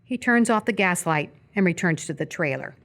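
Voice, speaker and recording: A woman's voice: on the low side, lively intonation, moderate pace, a bit bright. A woman reading scripted stage directions as the narrator of a live radio theatre piece.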